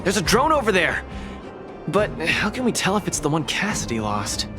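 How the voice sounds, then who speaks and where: An upbeat, cocky young male champion for a video game. His fairly high voice bounces around with breezy confidence, over clear game music. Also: big pitch swings, moderate pace. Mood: eager, casual.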